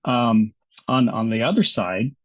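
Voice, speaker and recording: A man's voice: mid-range voice, measured pace. Easygoing American man with a slightly dull sound. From United States. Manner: easygoing, laughs often, restarts sentences. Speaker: interview guest. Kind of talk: casual interview chat.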